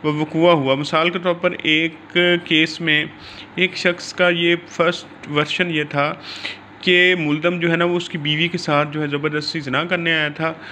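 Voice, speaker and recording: A man with a Pakistani accent giving an explanatory law lecture, mixing in English terms, in halting, repetitive phrasing. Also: fairly high pitch, level tone, pushed and bright, slight background noise.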